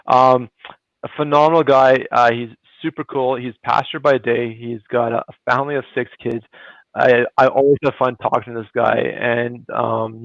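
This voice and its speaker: A man hosting a livestream, chatting in a relaxed, loose way. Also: mid-range voice, gravelly, dry recording. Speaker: host. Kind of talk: casual livestream chat. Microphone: video call. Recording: dull lo-fi call audio.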